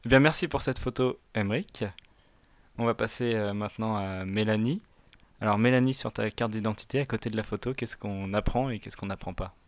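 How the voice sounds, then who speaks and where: Low-voiced male host, easygoing questions, dry close sound. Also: a little fast, dry recording. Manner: relaxed, asks questions, laughs easily. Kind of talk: casual chat.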